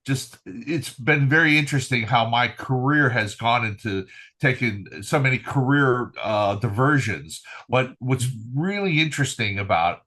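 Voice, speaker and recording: A man's voice: medium pitch, lively intonation, measured pace, pushed and bright. Older man telling stories with many fillers. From Finland. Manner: rambling, many "uh" fillers, good-humored. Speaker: guest, retired military trumpeter. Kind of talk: relaxed podcast interview storytelling.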